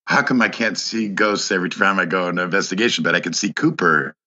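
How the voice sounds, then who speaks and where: A man who is a guest on a podcast, telling a personal story in casual conversation, halting with many restarts and fillers. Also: low voice, bright, forceful tone, lo-fi sound.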